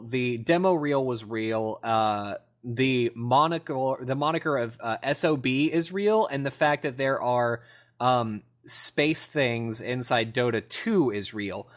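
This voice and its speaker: A man co-hosting a podcast in casual conversation, opinionated and rambling, often repeating himself for emphasis. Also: mid-range voice. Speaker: podcast co-host. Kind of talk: casual gaming podcast chat.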